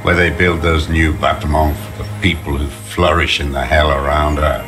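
An actor playing a bitter old communist deserter, slow and contemptuous, in a video game. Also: male voice, rumbling low, little pitch movement. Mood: grim, rambling. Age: older. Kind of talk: dramatic video game voice acting. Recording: music under the voice.

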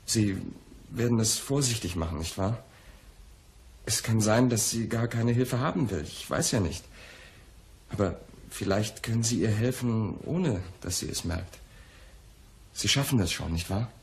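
An actor playing an anxious, spoiled young poet in a radio crime drama, speaking in an agitated, halting way. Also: male voice, lowish voice, expressive. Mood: worried, subdued. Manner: agitated, halting, repeats words. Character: anxious, spoiled young poet in his twenties, pleading lover.

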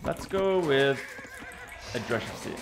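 A young man with a slightly raspy voice casually commenting on a strategy game he is playing while thanking chat, talking into a headset in a small room. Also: mid-range voice, unhurried, background noise. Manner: relaxed, thinking aloud, reading chat. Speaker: streamer. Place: small home room, indoors.

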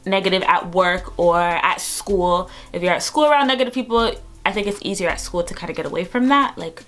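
A woman's voice: on the low side, lively intonation, brisk, a bit bright. A woman in her twenties talking casually to camera from her bedroom, upbeat and chatty with lots of fillers. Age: 20s. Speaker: vlogger. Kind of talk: casual vlog talk to camera. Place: bedroom, indoors.

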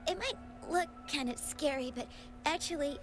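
An actress voicing a plucky, earnest little orphan girl in an anime video game, with a soft, girlish voice and simple childlike phrasing. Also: high-pitched, normal speed, close to an everyday voice. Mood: reassuring. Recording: game music clearly behind voice.

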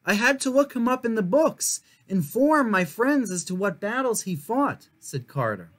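High-voiced young man reading aloud. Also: big pitch swings, moderate pace, fairly bright tone. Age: young adult. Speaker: children's storytime reader and host. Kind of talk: reading a picture book aloud to viewers.

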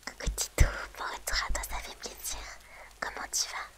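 A woman streamer whispering softly and gently to her viewers in an ASMR livestream, close to the mic. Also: moderate pace.

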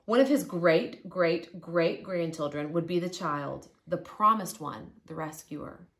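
Low-pitched woman reading a story. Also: expressive, unhurried, a bit bright. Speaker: storybook reader. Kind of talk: expressive children's story read-aloud. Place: living room, indoors. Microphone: camera or phone a short distance away.

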